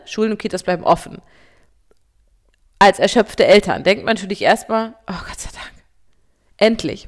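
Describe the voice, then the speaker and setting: A woman in her thirties co-hosting a podcast as a parent, talking fast and getting worked up, sometimes turning sarcastic. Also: mid-range voice. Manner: fast, agitated, sarcastic when upset. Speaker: podcast co-host. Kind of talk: casual podcast chat.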